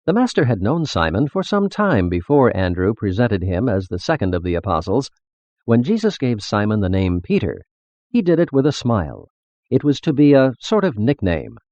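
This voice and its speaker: A man reading a religious text as scripted narration, in a measured, formal, expository manner. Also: lowish voice, a little mellow, no echo, highs cut off.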